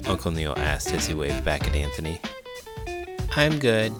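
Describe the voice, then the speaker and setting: A man reading a children's book aloud as an expressive storybook narrator. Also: extremely low pitch, a little fast. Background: music.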